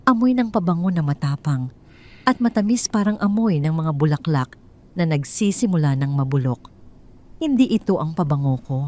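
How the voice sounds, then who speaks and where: A woman telling a first-person drama story in a hushed, tense, suspenseful way. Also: low voice, expressive, normal speed. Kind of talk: first-person scripted narration.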